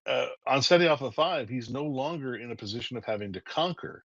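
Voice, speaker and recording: A man's voice: medium pitch, expressive, fairly quick, fairly bright tone, no room sound, lo-fi sound. A man being interviewed as a guest writer on a podcast, speaking in an articulate, methodical way and often posing rhetorical questions.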